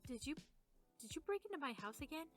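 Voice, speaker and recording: A woman's voice: high voice, sing-song, quick pace, soft background music. A woman co-hosting a podcast in character as a young demon, telling personal stories in a chatty, informal way. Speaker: podcast co-host.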